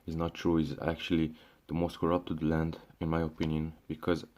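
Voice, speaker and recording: Deep-voiced young man from Syria ranting. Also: completely flat delivery, normal speed, a little mellow. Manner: frequent restarts and fillers, swears, says "bro". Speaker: vlogger. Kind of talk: casual ranting monologue.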